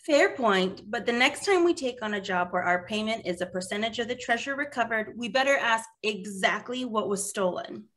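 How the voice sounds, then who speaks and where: Woman acting a young female paladin, cartoon fantasy, confident, brisk, higher bright voice. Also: lively intonation. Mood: unimpressed. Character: confident, practical twenty-four-year-old female water genasi paladin. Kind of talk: animated fantasy table read acting.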